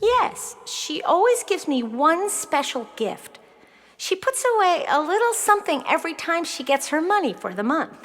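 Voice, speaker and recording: A woman playing a bright, earnest, precocious nine-year-old girl in a children's audio play, articulate and excitable. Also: very high-pitched, moderate pace, full character voice. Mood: curious, earnest.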